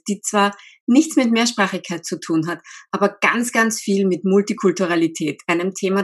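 A woman, a linguist, hosting a podcast interview, talking with enthusiasm and encouragement. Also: on the low side. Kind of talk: podcast interview, scripted intro.

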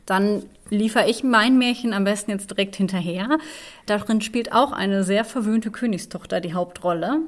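A woman hosting a podcast, narrating fairy tales in an expressive, measured storytelling manner. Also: mid-range voice. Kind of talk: fairy-tale narration and discussion.

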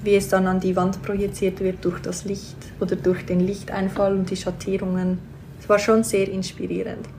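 A woman's voice: mid-range voice, completely flat delivery, moderate pace, a little mellow, a little hiss or hum. A woman, a guest answering questions thoughtfully with frequent hesitations. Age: 20s. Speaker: guest, theology student. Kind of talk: casual interview.

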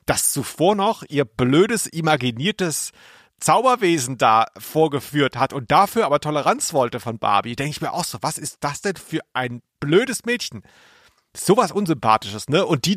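A man's voice: fairly high pitch, fairly bright tone, no room sound. Opinionated man chatting, dry close recording. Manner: wry, opinionated. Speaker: podcast co-host. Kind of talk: casual conversational commentary on audio plays.

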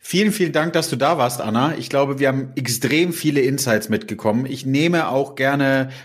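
A man's voice: mid-range voice, moderate pace. A man hosting a podcast interview, asking loose questions and often rephrasing mid-sentence. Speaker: podcast host.

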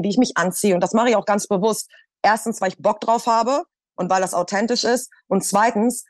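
A woman's voice: medium pitch, no room sound, clean studio sound. A woman from Hamburg, a radio presenter, talking in a casual roundtable and stressing her points by repeating them. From Hamburg, Germany.

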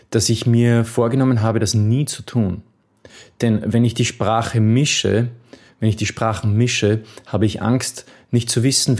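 A man's voice: lowish voice, a little mellow, breathy edge. A man coaching on memory techniques, answering a viewer's question in an earnest, encouraging way with occasional restarts.

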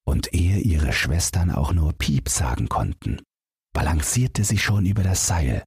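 A man's voice: low voice, lively intonation, a little slow, a little mellow, husky, dry recording, clean studio sound. A man narrating a scripted bedtime fairytale as an audiobook reader, telling it expressively.

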